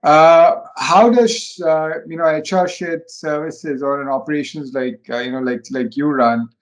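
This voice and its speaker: A man hosting a conversational business interview, speaking haltingly and often dropping in "you know". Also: a little high.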